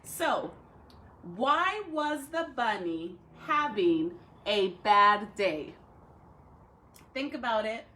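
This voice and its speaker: A woman talking and reading aloud to young pupils as a school educator, with expressive, drawn-out phrasing. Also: mid-range voice. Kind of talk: read-aloud for children with chatty intro.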